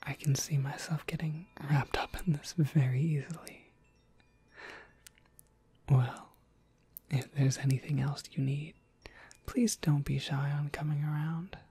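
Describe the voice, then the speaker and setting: Slow, breathy whispering witch played by a woman. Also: low-pitched, fairly even intonation, dry recording, heavily acted. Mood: pleased, gentle. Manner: gentle, polite, unhurried. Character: friendly witch shopkeeper, kindly and softly welcoming. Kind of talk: ASMR roleplay, whispered and soft-spoken.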